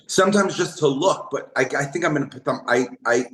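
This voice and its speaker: A man who is a comedian, talking casually in an interview, halting with many restarts and fillers. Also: on the high side, a bit bright, roomy sound. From Brooklyn, New York. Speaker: comedian guest.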